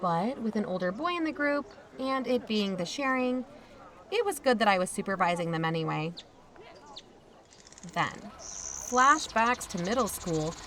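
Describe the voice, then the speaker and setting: Wry, higher-voiced young aunt played by a woman. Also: a bit bright, a little hiss or hum. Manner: casual, wry. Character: Stevie, sardonic young aunt telling her own story. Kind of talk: first-person audio drama narration and dialogue.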